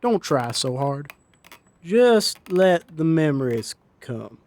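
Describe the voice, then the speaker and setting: A man's voice: fairly high pitch, very animated, takes it slowly, darkish tone, heavily acted. A man playing a tough, protective male giant slayer, intense in manner, in an audio story. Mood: soothing. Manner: hard, intense, growling in threat.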